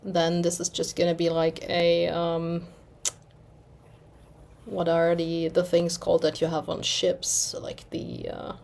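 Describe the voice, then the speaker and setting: A woman's voice: fairly low pitch, monotone, slow pace, gravelly, a little mellow. German woman chatting casually about stitching. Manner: rambling, self-deprecating, searches for words. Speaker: cross-stitch vlogger. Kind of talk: casual chat.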